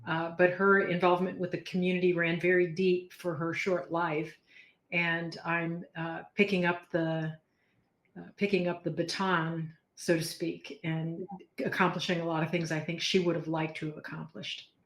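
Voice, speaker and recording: A woman introducing herself as a company president, speaking in a measured, deliberate way. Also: fairly low pitch, level tone, echoey room, rough recording. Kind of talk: casual interview.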